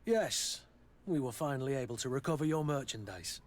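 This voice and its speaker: A man's voice: medium pitch, lively intonation, a little fast, natural voice. A man playing a blunt, wry mercenary captain in a fantasy video game, with a dry way of talking. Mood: grudging. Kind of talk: scripted video game acting.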